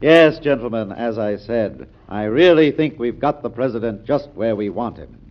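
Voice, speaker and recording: An actor playing a combative, confident American senator in 1940s radio drama style, speaking in an emphatic, oratorical way. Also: male voice, mid-range voice, lively intonation, normal speed, lightly acted. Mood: smug. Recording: dull old radio broadcast recording.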